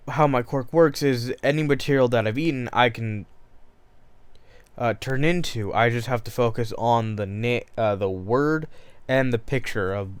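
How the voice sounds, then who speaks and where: Man voicing a courteous rich teen boy, earnest and self-correcting, smooth dry voice. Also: mid-range voice, no room sound, close to an everyday voice. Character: polite teenage boy from a rich family.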